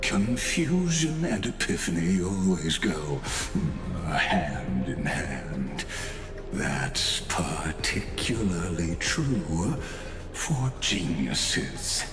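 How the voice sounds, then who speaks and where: An ancient genius villain from a video game, with a very low voice and a slow, deliberate pace. He is grave, pompous and coldly philosophical. His words echo as he speaks in theatrical, condescending monologue. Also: expressive, full character voice. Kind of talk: dramatic scripted video game voice acting. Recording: echoing voice over background music.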